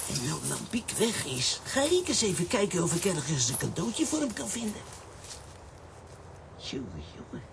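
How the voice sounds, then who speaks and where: A woman's voice: on the low side, huge pitch swings, moderate pace, vocal fry, very noisy, heavily acted. A woman voicing a small, cheeky enchanted coffee pot, eager and childlike, in a children's radio play. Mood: cheerful. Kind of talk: children's comic-strip radio play acting.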